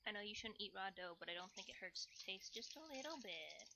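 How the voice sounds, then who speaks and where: A woman's voice: medium pitch, animated, brisk, vocal fry, noisy background. A woman livestreamer chatting casually in a sweet, apologetic, scattered way while busy in her kitchen. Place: home kitchen.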